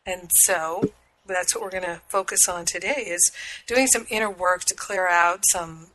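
A woman hosting a spiritual radio talk, her voice husky and hoarse from a cold, speaking slowly with light laughs. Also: on the low side, noisy background. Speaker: radio show host and spiritual teacher.

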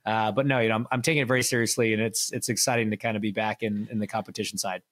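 A man's voice: lowish voice, flat delivery, racing, a bit bright. A man from Canada, a racing driver guest on a podcast, chatting casually with self-deprecating jokes and frequent restarts.

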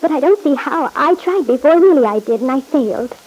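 A woman's voice: high-pitched, lively intonation, fairly quick, darkish tone, low-quality recording. An actress playing an anxious, guilt-ridden young mother in an old-time radio soap opera, hesitant, plaintive and defensive. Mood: helpless.

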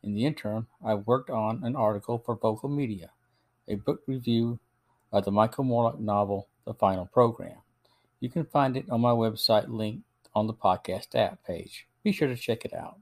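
A man's voice: on the low side, normal speed, darkish tone. A man hosting a podcast, reading a scripted review in a narrating style. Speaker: podcast host.

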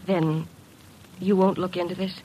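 An actress playing an earnest, worried young secretary in a classic radio drama, speaking politely and hesitantly. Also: female voice, on the low side, level tone, measured pace, mellow, breathy edge, rough recording. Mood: pleading, disappointed.

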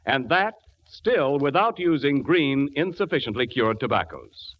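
A man's voice: mid-range voice, big pitch swings, a little slow. A man announcing in 1940s radio advertising style, with emphatic phrasing, on an old muffled recording.

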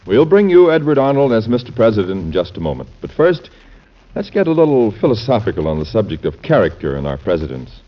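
A man's voice: lowish voice, big pitch swings, fast talker. An American man hosting a vintage radio programme, delivering scripted narration as its announcer. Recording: muffled vintage radio recording.

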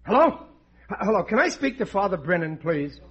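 A man's voice: sits high, very animated, a little fast, a bit bright, husky, dull audio, full character voice. An actor playing a gullible, self-satisfied middle-aged working-class father in a folksy, blustering 1940s radio comedy style. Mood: eager, self-important. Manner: blustering, folksy, ungrammatical speech. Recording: old radio recording.

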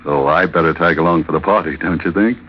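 A man's voice: sits low, quick pace, husky. A man playing a sly, smug henchman with slangy, insinuating talk in an old radio crime drama. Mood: sly, confident. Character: Red Gallagher, sly smug hardboiled male henchman. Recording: muffled old radio recording.

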